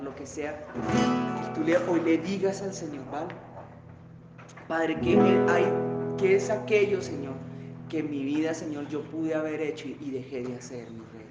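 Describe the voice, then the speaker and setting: A man preaching a sermon, then leading the church in emphatic, fervent prayer. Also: on the high side. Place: church hall. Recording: very echoey church hall with guitar.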